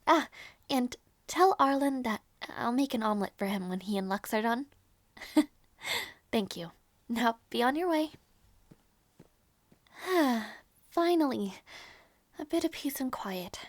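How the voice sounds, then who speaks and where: Woman acting a high-voiced, unhurried doting princess aunt. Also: lively intonation, no room sound. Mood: quiet, reflective. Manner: sweet, coaxing, sighing. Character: gentle doting princess aunt minding her little nephew. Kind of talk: audio roleplay acting.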